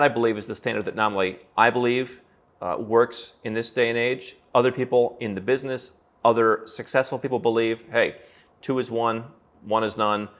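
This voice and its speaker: A lower-pitched man talking fast and with confidence, straight to camera. He lays out advice as a running thought process, full of what-ifs and quick asides. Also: fairly bright tone. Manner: brisk, confident, thinks aloud with hypotheticals and fillers. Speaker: founder and host of an advisory channel. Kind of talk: direct-to-camera advice talk.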